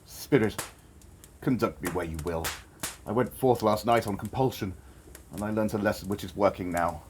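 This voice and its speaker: An actor playing an old miser, shaken and pleading, in an audio drama. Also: male voice, on the low side, moderate pace, noisy background, close to an everyday voice. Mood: earnest. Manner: pleading, broken and emotional. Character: bitter old male miser, shaken and remorseful.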